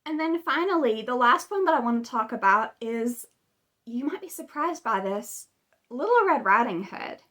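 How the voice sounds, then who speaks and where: A woman's voice: a little high, lively intonation, moderate pace, a bit bright. A woman hosting a video, retelling stories in a casual, chatty way with conversational asides. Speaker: video host. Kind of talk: casual video commentary retelling fairy tales.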